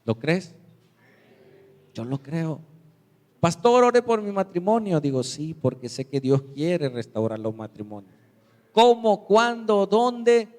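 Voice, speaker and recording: A man's voice: sing-song, slow, pauses often, darkish tone. A man preaching a sermon as pastor, telling stories and acting out the voices of the people in them. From Mexico. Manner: storytelling, acts out quoted voices with big pitch jumps. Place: church sanctuary. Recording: reverberant church hall.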